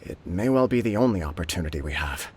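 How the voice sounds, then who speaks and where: A man's voice: low voice, expressive, fast talker, a little mellow, slight background noise. An actor playing an earnest young nobleman who speaks sincerely and respectfully, in a plain, direct way. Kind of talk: game dialogue voice acting.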